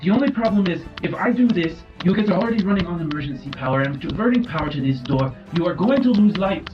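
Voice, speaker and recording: Urgent woman doctor for a game, played by a man, raised pitch, worried, music under it. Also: expressive, fast talker, echoey room, highs cut off. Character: urgent woman doctor overriding a failing system. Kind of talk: tabletop game character voice.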